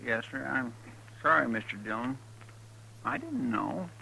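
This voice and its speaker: A man's voice: medium pitch, lively intonation, a little slow, gravelly, loud music behind the voice. A man playing a simple, earnest, folksy helper in an old radio western, on a noisy recording. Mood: sheepish. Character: simple, apologetic male helper, eager and easily scolded.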